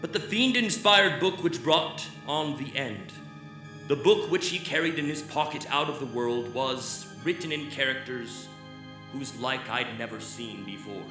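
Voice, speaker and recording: A man's voice: mid-range voice, unhurried, natural voice. Man acting anguished male scholar, old-time radio drama, formal grave delivery, roomy stage sound, music underneath. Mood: brooding. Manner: formal, grave, emphatic phrasing. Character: anguished, haunted male occult scholar giving a statement. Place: theater stage, live performance. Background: clear music.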